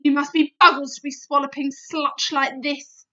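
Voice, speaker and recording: A woman doing a booming bloodthirsty giant. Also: level tone, normal speed, muffled recording. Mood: bellowing. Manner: loud bellowing, threatening. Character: huge bloodthirsty male giant, coarse bully.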